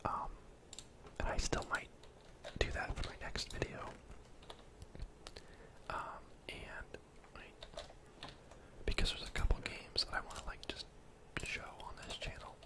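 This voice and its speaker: A young man whispering soft, breathy ASMR gameplay commentary in a casual, rambling way, thinking aloud close to the microphone. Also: measured pace, long pauses. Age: young adult. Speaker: gaming ASMR streamer.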